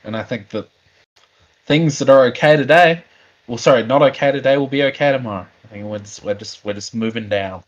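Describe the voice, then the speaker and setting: A man's voice: medium pitch, a little fast, muffled recording. A man co-hosting a casual talk show, joking with mock outrage and restarting his sentences. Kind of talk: casual chat.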